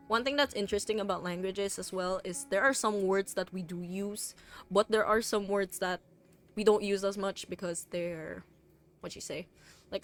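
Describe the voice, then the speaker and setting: A young woman chats on a stream. She rambles with many fillers and restarts, and her pitch sits in the middle of the range. Faint game music plays behind her. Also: fairly bright tone. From Philippines. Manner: chatty and rambling, with frequent fillers, laughs and restarts. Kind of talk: casual stream chat.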